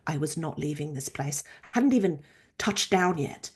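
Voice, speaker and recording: A woman in her fifties from Norway, a chiropractor and researcher telling her story in a casual, animated interview. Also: low-pitched, normal speed, husky. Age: 50s. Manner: animated storytelling, acts out quoted voices.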